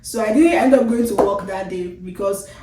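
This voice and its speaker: A woman's voice: mid-range voice, normal speed, a crowd in the background. A young Nigerian woman with a Nigerian accent, chatting casually as co-host and telling stories with bursts of laughter. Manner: animated storytelling, bursts of laughter, code-switching to Pidgin. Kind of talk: casual chat. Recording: echoey room, far from the mic.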